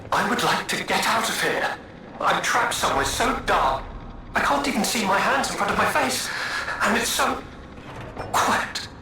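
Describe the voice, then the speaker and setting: Vain, theatrical alchemist, hugely echoey. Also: male voice, high voice, moderate pace, exaggerated voice. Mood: plaintive, hopeful. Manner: florid, flattering, self-pitying and playful. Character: vain, theatrical, charming male alchemist speaking through puppets. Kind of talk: dramatic video game dialogue. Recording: very echoey, with loud background noise.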